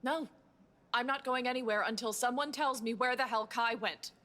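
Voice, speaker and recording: A woman's voice: fairly high pitch, steady tone, normal speed, bright, forceful tone. A woman playing a defiant, worried patient in a horror audio drama, urgent and insistent. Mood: demanding, agitated. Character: defiant, worried female patient investigating her friend's disappearance.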